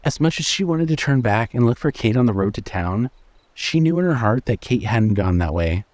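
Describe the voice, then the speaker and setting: A man's voice: medium pitch, lively intonation, normal speed, darkish tone, no room sound. A man reading scripted suspense fiction as a narrator.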